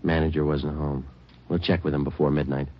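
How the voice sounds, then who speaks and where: An actor playing a stoic American homicide detective in a 1940s radio drama, deadpan, terse and businesslike. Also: male voice, rumbling low, brisk, slight background noise. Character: stoic, matter-of-fact male homicide police detective. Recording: dull old radio recording, music behind.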